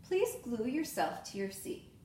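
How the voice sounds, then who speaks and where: A good-humoured lady schoolteacher from a picture book, read by a woman. She instructs children patiently but firmly in a high, lively voice. The room is echoey. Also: unhurried, far from the mic. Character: kindly, good-humoured female primary school teacher in a storybook. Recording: echoey room with faint noise.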